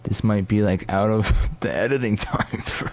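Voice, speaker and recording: A young man adding relaxed color commentary to a casual video game football broadcast. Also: on the low side, normal speed.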